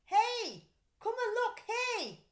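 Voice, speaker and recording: A woman voicing a loud, eager young boy in a storybook, exclaiming and calling out. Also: very high voice, huge pitch swings, extremely slow, reverberant, pitched above the performer's own voice, exaggerated voice. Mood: excited, calling.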